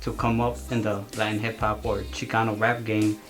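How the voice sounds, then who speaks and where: A man interviewing someone, putting prepared questions in measured, formal phrasing. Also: on the low side, little pitch movement, fairly bright tone, soft background music.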